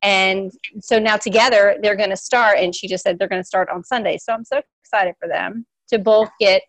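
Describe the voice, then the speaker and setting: Woman new coach, quick animated storytelling, close dry mic. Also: medium pitch, no room sound, rough recording. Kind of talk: casual storytelling.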